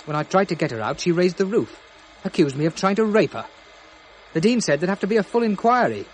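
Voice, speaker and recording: A man's voice: high voice, very animated, a little fast, slight background noise. A man acting in a 1970s British radio drama, playing a proud, defensive photographer with an indignant, insistent manner. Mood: indignant, wounded. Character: proud, defensive male picture-postcard photographer under questioning. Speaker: actor in a radio play.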